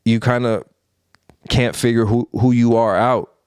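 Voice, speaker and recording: A man's voice: medium pitch, flat delivery, a little slow, a little mellow, clear, resonant tone, dry recording, studio quality. A man in his thirties co-hosting a podcast, giving his opinions in a rambling, earnest way with many fillers and restarts. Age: 30s. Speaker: podcast co-host. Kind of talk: casual opinion chat.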